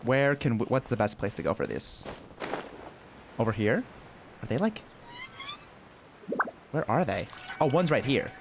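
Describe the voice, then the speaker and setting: A man's voice: medium pitch, very animated, creaky, background noise. A man giving casual, playful reaction commentary while streaming a video game. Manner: joking, drawn-out short reactions. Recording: game music behind the voice.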